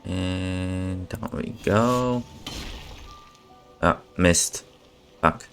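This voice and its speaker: A man giving casual, low-key live commentary as he streams a video game. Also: drawn-out words, fairly bright tone, faint music behind.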